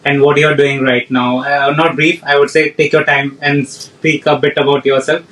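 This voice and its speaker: A man's voice: on the high side, level tone, moderate pace, a bit bright, far from the mic, rough recording. A man hosting a casual podcast interview, asking questions with frequent hesitations and fillers. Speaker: podcast host.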